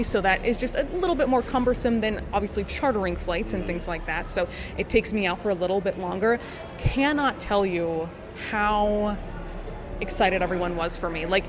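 A woman with a mid-pitched voice talks as an interview guest. She is an articulate sports broadcaster who tells her story earnestly and conversationally. There is clear vehicle-like noise in the background.

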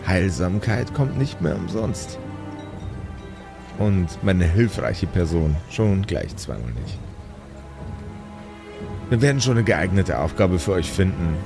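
A man's voice: low-pitched, very animated, gravelly, over music, full character voice. A man voicing a shady, evasive go-between who hints cryptically and drags out his repeated words. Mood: sly, casual. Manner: drawn-out, insinuating repetitions.

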